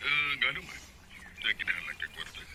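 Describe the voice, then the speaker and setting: An actor playing a troubled, evasive husband on a phone call in a Filipino radio drama, halting, with a Bisaya accent. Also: male voice, mid-range voice, very animated, noisy background, natural voice. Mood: downcast, evasive. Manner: halting, hesitant.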